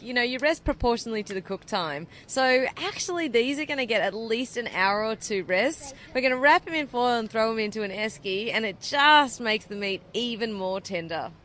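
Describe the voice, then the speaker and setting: A woman's voice: on the high side, fairly bright tone, slight background noise. A woman in her thirties with an Australian accent, explaining her barbecue cooking with enthusiasm in an outdoor interview at a food event. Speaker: barbecue cook.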